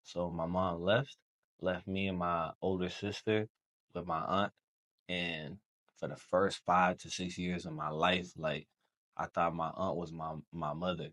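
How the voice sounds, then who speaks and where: A young man from Haiti, a Creole speaker, telling his story as a podcast guest in relaxed slangy chat. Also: low-pitched, unhurried. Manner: relaxed storytelling, slangy, says bro often. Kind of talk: casual chat.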